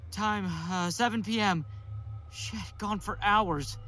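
A man's voice: sits high, slow, very noisy. A man playing a drug researcher who is losing control of his mind, stammering and pleading in an audio drama. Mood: disoriented, alarmed. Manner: stammering, panicky, breathless pleading. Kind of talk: audio fiction acting.

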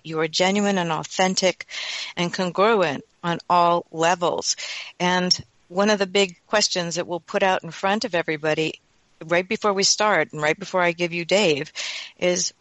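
A woman's voice: on the low side, a bit bright, no room sound, low-quality recording. A woman hosting a radio talk show, speaking in an enthusiastic, earnest way.

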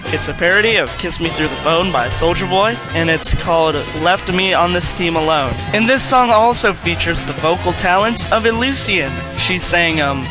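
Higher-pitched casual man talking over music. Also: animated, moderate pace, hardly any pauses, low-quality recording. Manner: rambling, informal. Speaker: song parody maker, podcast contributor. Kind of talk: casual spoken introduction. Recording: music playing behind the voice.

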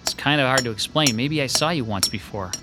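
An actor playing a hesitant, uneasy sketch artist haunted by déjà vu, in classic radio drama style. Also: male voice, medium pitch, a little fast, over music, natural voice.

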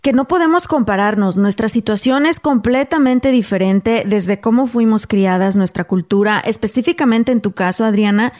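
A woman's voice: on the high side, normal speed, few pauses, a little mellow. A woman from Mexico hosting a casual podcast chat, earnest and conversational. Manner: earnest, conversational, laughs easily. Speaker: podcast host.

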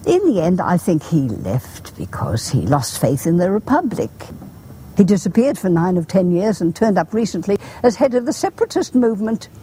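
An elderly Jedi archivist in a science fiction film, prim and wistful. Her voice is soft-edged and mellow, with lively rises and falls. She speaks formally over a noisy ambient film soundtrack. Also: fairly low pitch. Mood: wistful, admiring. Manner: measured, formal, genteel. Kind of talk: film drama dialogue.

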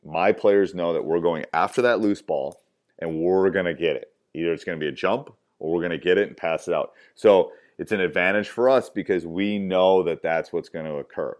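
A man with a loud voice teaching basketball coaching tips, emphatic and repetitive, with frequent "um"s. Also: sits low. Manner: emphatic, repeats key points, many fillers. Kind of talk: coaching clinic talk.

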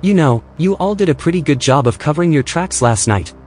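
An actor playing a wry, reluctant, anxious tech colleague in a naturalistic audio drama, with a dry manner. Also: male voice, fairly high pitch, lively intonation, a little fast, darkish tone, soft background music, natural voice. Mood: uneasy.

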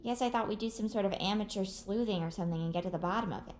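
A woman's voice: mid-range voice, racing, a little mellow, a little hiss or hum, lightly acted. A woman playing a nervous, sensible young woman in an audio drama, talking in an awkward, hesitant, conversational way. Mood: suspicious. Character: anxious, suspicious, sensible young woman in peril. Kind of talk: naturalistic audio drama acting.

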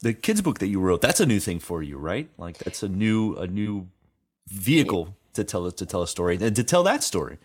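Male interviewer, lower pitch, casual and conversational, rambling questions with a joking streak. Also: very animated, brisk, breathy edge. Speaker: interview host.

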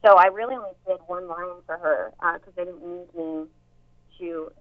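A young actress with a somewhat deep voice, chatting casually in an interview with many fillers and restarts. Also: female voice, normal speed. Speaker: actress and voice actor. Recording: tinny phone-like line.

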